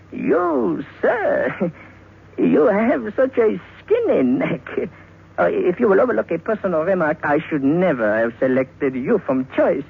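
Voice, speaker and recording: A creaky, high-pitched madman. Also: exaggerated voice. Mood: courteous, gloating. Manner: fussy, halting, over-polite, savouring his words. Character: menacing little French murderer, suavely polite, gleefully sinister. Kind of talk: old-time radio horror acting. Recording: muffled vintage radio recording, music behind.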